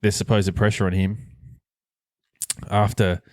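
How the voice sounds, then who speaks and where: The low-voiced bloke steering a sports podcast from a well-deadened room, thinking out loud and ribbing his co-host. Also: flat delivery, unhurried, no echo. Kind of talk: casual sports chat.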